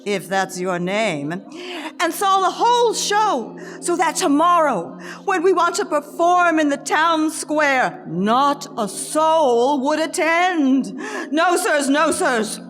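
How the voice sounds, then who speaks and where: Shrewd theatrical swindler female actor, puppet-show boss in a period comedy, deliberate pace, high register. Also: lively intonation, a bit bright, full character voice. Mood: wheedling, insistent. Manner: declamatory, deliberate. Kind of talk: theatrical reading of a comic period play. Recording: reverberant hall, faint music.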